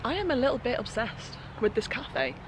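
A woman's voice: a little high, huge pitch swings, normal speed, over a noisy crowd. Bubbly woman vlogging outdoors. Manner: bubbly and enthusiastic, laughs often. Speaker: travel vlogger. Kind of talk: excited travel vlog to camera. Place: outdoors and in a car on a road trip. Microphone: camera held at arm's length.

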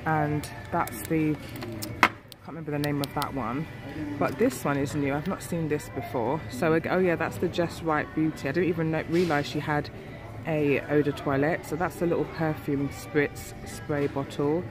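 A woman's voice: low voice, fairly even intonation, normal speed, music in the background. A woman with a cold-stuffed nasal voice, chatting casually through a shop walkthrough on a handheld phone. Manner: chatty, filler-heavy. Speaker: beauty vlogger. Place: shop aisle, indoors. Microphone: handheld phone camera.

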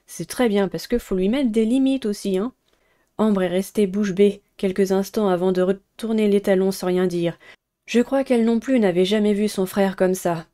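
A young adult woman with a soft-toned, mellow voice at medium pitch. She hosts a visual novel playthrough, switching between reading aloud and chatty commentary. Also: normal speed. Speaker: gaming video host.

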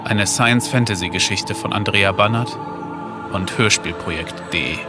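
Male title announcer, very low pitch, scripted delivery, prominent music behind. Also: moderate pace.

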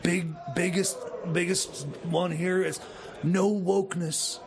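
A man voicing a bumbling jailhouse character in comedy radio theater, halting and repeating himself, with a creaky voice. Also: sits high, flat delivery, a crowd in the background. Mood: officious. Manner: halting, stammering, repeats words.

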